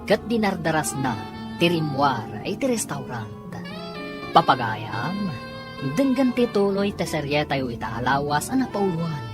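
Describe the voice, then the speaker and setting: Lady narrating a radio drama, dramatic and animated, low voice, music playing under her. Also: measured pace. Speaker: radio serial announcer and narrator. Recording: music bed behind voice. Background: clear music.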